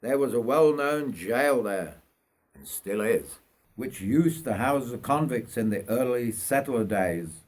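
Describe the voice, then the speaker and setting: An older man from North Yorkshire narrates his autobiography in a mid-pitched voice. He reads at a slow, measured pace, reflecting as he tells the story. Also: expressive. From North Yorkshire, England. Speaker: writer reading own memoir.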